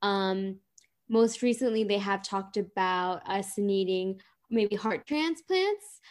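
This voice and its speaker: Earnest young woman explaining calmly. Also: mid-range voice, slow. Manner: earnest and steady, with many ums. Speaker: guest. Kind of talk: casual interview, explaining.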